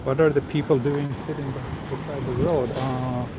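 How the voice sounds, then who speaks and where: A man's voice: mid-range voice, little pitch movement, moderate pace. A man chatting casually while walking outdoors on a city street, reading viewer comments aloud with plenty of hesitant fillers. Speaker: livestream walking vlogger. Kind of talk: casual live-stream chat. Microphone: handheld camera with small recorder mic and wind cover. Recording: street noise around handheld mic. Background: city street ambience.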